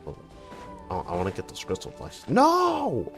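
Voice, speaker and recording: A man streaming a video game, casually reacting and joking with loud outbursts and swearing. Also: medium pitch, huge pitch swings, normal speed, gravelly, faint music behind. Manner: playful and swearing, reacting loudly. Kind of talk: casual gameplay commentary.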